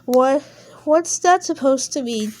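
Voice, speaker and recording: Woman playing an anxious, high-pitched storybook wolf. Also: expressive, takes it slowly, darkish tone. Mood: exasperated. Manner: exclaiming, questioning, sound words blurted out. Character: anxious, bewildered male wolf, sarcastic and impulsive.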